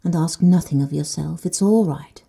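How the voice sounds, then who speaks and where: Breathy, mellow woman guiding sleep. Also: deep voice. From Netherlands. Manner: slow and soothing, dropping to soft whispers. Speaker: meditation guide. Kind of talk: guided sleep meditation.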